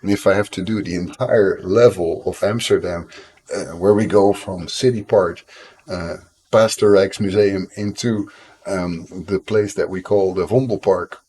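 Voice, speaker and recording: Low-voiced Dutch man streaming casually. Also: steady tone, a little slow, a little mellow, breathy edge. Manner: rambling, self-deprecating, frequent fillers and restarts. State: coughing now and then. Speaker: game developer streamer. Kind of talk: casual live-stream chat.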